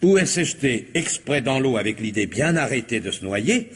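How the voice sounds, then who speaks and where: An actor playing a pompous, sentimental police commissioner in a French radio comedy-mystery, speaking with deliberate self-importance. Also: male voice, mid-range voice, big pitch swings, slightly rough. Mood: mournfully grave.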